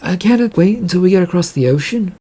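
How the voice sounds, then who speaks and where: A man's voice: high voice, animated, fast talker, gravelly, warm tone, slightly rough, voice pushed up, heavily acted. A man voicing an uncertain female ship captain in a story, hesitant and puzzled.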